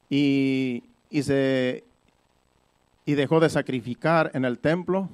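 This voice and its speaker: A man's voice: fairly high pitch, a little mellow. Conversational male preacher explaining scripture. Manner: explanatory, repeats and rephrases points. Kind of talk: conversational Bible-teaching sermon.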